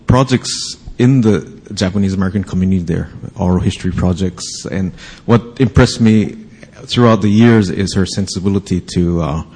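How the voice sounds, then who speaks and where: A man with a Hawaiian English accent introduces a panel, halting and filling every pause with uh and um. His voice is very low, dark and husky. It carries a long reverb, as if heard across a large hall. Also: slight background noise. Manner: halting, many fillers and pauses. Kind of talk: hesitant formal introduction.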